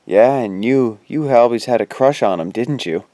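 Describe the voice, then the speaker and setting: A man's voice: medium pitch, lively intonation, normal speed, warm tone, lightly acted. A man playing a candid, wry young man, a loyal friend, in an audio drama. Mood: gentle teasing. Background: music underneath.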